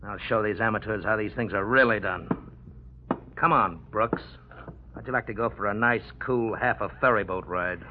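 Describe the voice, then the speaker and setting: A man's voice: on the low side, normal speed, background noise, lightly acted. An actor playing a menacing male gangster in 1940s radio drama style, sneering and threatening. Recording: muffled old radio broadcast.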